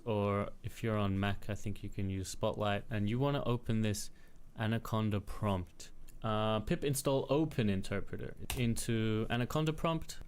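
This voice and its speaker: A man narrating a recorded step-by-step software tutorial in a plain, instructional way. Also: fairly low pitch, a little hiss or hum. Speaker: tech tutor.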